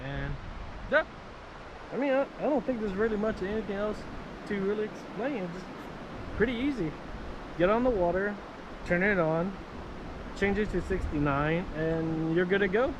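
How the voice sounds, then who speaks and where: Male vlogger, higher-than-average voice, informal off-the-cuff demo delivery, windy and noisy outdoor action-camera sound. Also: expressive, moderate pace, long pauses. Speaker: vlogger demonstrating marine VHF radios. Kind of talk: casual, improvised product demonstration. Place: outdoors by the water. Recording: windy outdoor recording with water noise.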